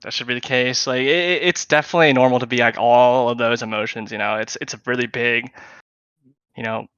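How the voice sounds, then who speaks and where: A man's voice: mid-range voice, steady tone, a little fast, fairly bright tone, clear, resonant tone, dry recording. A man hosting a casual podcast on an online call, friendly and loose, with frequent "yeah" fillers. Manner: friendly, uses "yeah" and "you know" fillers. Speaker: podcast host. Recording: dull lo-fi online call audio.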